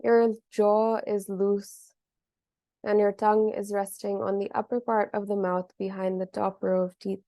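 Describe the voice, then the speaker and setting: A woman guides a meditation in a mellow, relaxed voice with very little rise and fall. Her delivery is gentle and measured, leaving long pauses between phrases. The sound is dry and close, with no room around it. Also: mid-range voice, dry recording. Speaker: meditation teacher.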